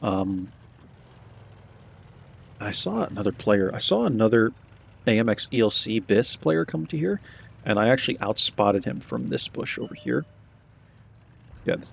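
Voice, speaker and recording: A low-voiced man with a gravelly, fry-heavy voice talks casually over his gameplay, rambling and tossing in "man" often. His voice sounds a bit hoarse and cracks at times. Faint engine rumble sits behind him. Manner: laid-back, rambling, says "man" a lot. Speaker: gameplay commentator.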